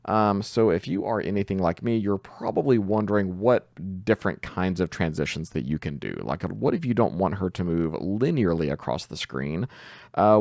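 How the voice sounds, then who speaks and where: Male tutorial host, low register with vocal fry, informal step-by-step explaining, close voice-over sound. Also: no echo. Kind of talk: casual screen-share tutorial narration.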